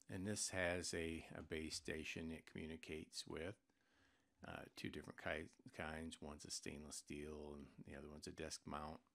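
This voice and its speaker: Male product presenter, extremely deep and gravelly, off-the-cuff explanatory delivery with hesitant pauses. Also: a bit bright. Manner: halting, informal explaining with mid-sentence pauses. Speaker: product presenter for an intercom seller. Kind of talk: unscripted product walkthrough over screen recording.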